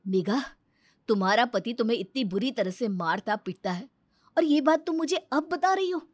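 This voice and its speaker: A woman's voice: a little high, lively intonation, normal speed, no room sound. A woman voicing a protective, caring and firm brother in a story, speaking emphatically and reassuringly. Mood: indignant.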